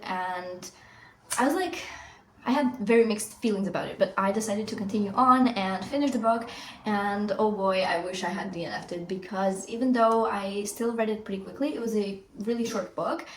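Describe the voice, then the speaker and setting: A woman's voice: medium pitch, normal speed. Woman reviewing books, russian is her first language, rambly w lots of ums, echoey room w faint hiss. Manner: chatty, rambling, many fillers. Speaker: book vlogger. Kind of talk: casual book review vlog.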